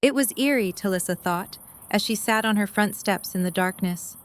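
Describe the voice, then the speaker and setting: A woman's voice: medium pitch, normal speed. A woman reading scripted story narration for an audio drama.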